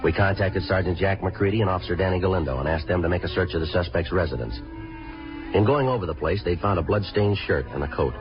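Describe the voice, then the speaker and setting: An actor playing a terse, matter-of-fact American homicide detective who narrates a 1950s radio crime drama in a clipped, deadpan way. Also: male voice, sits low, lightly acted. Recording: dull vintage radio recording with music.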